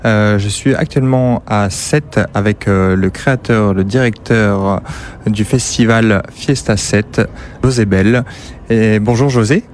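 Guy with a lowish voice doing a radio interview, kinda halting, stops and starts. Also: darkish tone, a little hiss or hum. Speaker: radio show host, interviewer. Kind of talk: informal on-location interview.

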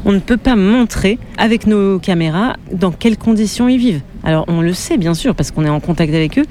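Woman journalist in an interview, calm and careful, lots of little pauses, faint room hum. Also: mid-range voice. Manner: measured, thoughtful, frequent hesitations. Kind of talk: interview answers.

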